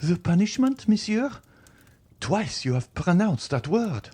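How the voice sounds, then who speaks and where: A man's voice: medium pitch, sing-song, extremely slow, husky, voice pushed up, heavily acted. A man voicing a terrified pleading young wife.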